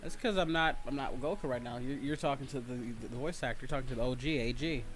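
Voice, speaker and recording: A man's voice: mid-range voice, fast talker. A man hosting a livestream in casual banter, talking in a blunt, teasing, cocky manner. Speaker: livestream host and voice actor.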